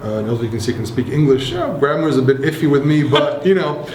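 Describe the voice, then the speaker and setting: A man in his thirties with an Israeli accent, explaining things in a relaxed, hesitant way with many fillers. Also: medium pitch, quick pace, slight background noise. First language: Hebrew. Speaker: interviewee. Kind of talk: casual explanatory talk. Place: bomb shelter in an apartment building. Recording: echoey concrete room.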